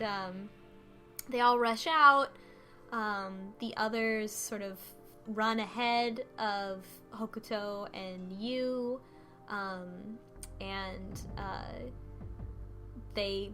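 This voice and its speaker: A woman's voice: medium pitch, sharp tone. Woman casually recapping a story over music. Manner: rambling, conversational, quotes characters informally. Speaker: podcast host recapping a story. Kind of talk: casual book club discussion, retelling a visual novel.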